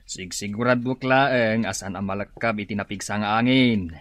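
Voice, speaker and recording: A man's voice: fairly low pitch, steady tone, moderate pace, fairly bright tone, no echo, natural voice. Man playing a grumbling Ilocano neighbor, dry sound. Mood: busy, grumbling. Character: busy, grumbling village handyman neighbor. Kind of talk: Ilocano radio comedy-drama acting.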